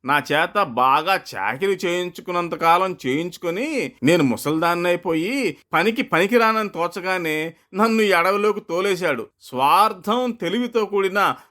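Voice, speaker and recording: A man's voice: sits high, expressive, normal speed, pushed and bright. Man voicing a bitter, battered old bull. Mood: alarmed, bitter.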